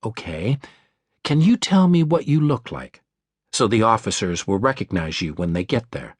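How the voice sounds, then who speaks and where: A male narrator voices a female emergency dispatcher in a thriller audiobook. She is calm, controlled and reassuring, with a gentle lilt, recorded dry and close. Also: lowish voice, breathy edge, dry recording. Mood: calm, warm. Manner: measured, soothing, controlled.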